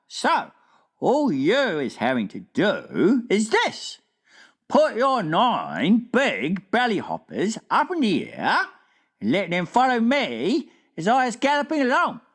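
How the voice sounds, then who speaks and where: A woman voicing a big, gentle, friendly male giant who talks in drawn-out, folksy, mangled grammar. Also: mid-range voice, huge pitch swings, voice pushed up, heavily acted. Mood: earnest, reassuring.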